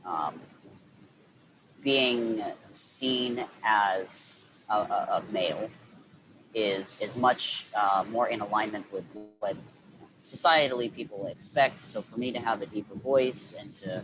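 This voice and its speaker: A man's voice: fairly low pitch, takes it slowly, music in the background, rough recording. A man speaking as an interview guest, reflecting at length with frequent restarts and fillers. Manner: rambling, many restarts and fillers.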